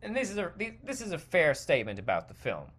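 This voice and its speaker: Animated, bright-voiced man ranting. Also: on the high side, fast. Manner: rambling, digressive, emphatic. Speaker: podcast host. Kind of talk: opinionated stream-of-consciousness commentary.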